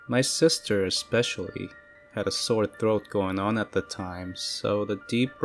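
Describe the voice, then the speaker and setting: Male horror-story narrator, low voice, measured delivery over faint music. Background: faint eerie music.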